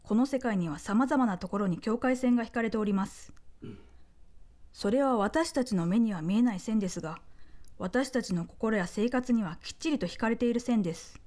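A woman playing a composed, courteous royal envoy in a radio drama, with very polite, measured, deferential speech. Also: on the high side, dark tone, natural voice. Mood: composed diplomatic. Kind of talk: radio drama acting, formal diplomatic speech.

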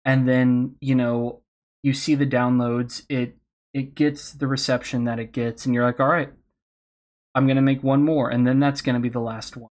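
A man who hosts a podcast, giving candid, encouraging advice on a convention panel, his voice slightly hoarse. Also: mid-range voice, little pitch movement, moderate pace, a little mellow. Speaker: podcast host speaking on a panel.

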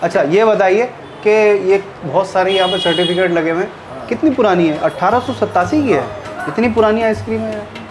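A man's voice: high voice, a little fast. A middle-aged man chatting enthusiastically as he tastes food on a casual vlog, speaking into a lapel mic in a small eatery. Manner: enthusiastic, chatty, tasting and commenting. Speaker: food vlogger. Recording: background music under voice.

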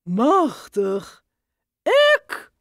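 A woman's voice: sits high, huge pitch swings, extremely slow, a bit bright, dry recording, studio quality, voice pushed up, full character voice. A woman voicing a modest, surprised sun in a children's fable.

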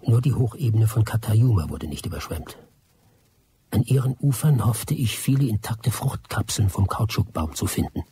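An actor playing a first-person narrator, giving measured, reflective storytelling in a radio drama. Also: male voice, lowish voice, expressive, dark tone, slightly rough. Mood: calm recollection. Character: male first-person narrator recalling a jungle expedition.

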